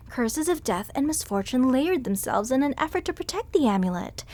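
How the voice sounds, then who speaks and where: Woman playing a sweet nerdy curator girl, high voice, lecturing about old myths nonstop. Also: a little mellow. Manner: earnest, lecturing at length on legends and history. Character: sweet, bookish female curator treasure hunter, adult. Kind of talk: audio roleplay drama.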